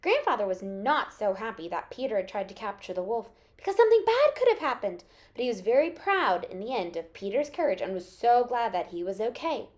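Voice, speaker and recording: Animated high-voiced woman storyteller. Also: brisk, few pauses. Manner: expressive, addressing young children. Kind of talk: animated children's story reading.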